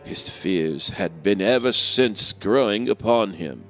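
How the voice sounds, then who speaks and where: A man giving a theatrical reading of a gothic horror story as a nervous, obsessive madman, deliberate and emphatic, with dramatic pauses and swells. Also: on the low side, expressive, pushed and bright. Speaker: dramatic reader. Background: background music.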